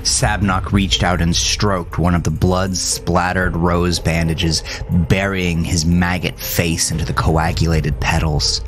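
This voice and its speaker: A man narrating a scripted first-person horror story in a measured, solemn way. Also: low-pitched, little pitch movement. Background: music.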